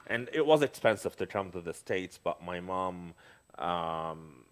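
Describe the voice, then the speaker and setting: Man from Lebanon, very low voice, hesitant, lots of fillers, middle-aged, casual chat. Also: expressive, a little slow, smooth. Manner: hesitant, many fillers and restarts. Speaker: guest. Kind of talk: casual conversation.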